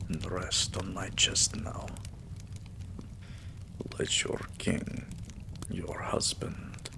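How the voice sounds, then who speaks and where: A man playing a tender, devoted vampire king in a romantic ASMR roleplay, speaking in a hushed, breathy, soothing way that often slips into a whisper. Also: low voice, exaggerated voice. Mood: soothing, drowsy. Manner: hushed, slow, soothing, affectionate.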